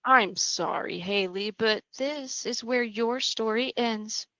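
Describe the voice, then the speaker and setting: A woman playing a mad, taunting young female killer in a horror table read, mocking and drawn-out. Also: a little high, sharp tone, no room sound. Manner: mocking, drawn-out, punctuated with laughter.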